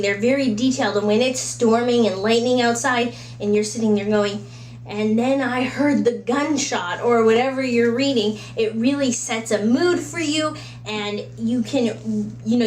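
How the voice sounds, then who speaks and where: A woman giving casual, chatty book recommendations in a conversational way, slipping into little acted-out asides. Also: a little high. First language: English. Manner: conversational, acts out little imagined quotes and asides. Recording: roomy echo with faint background hum.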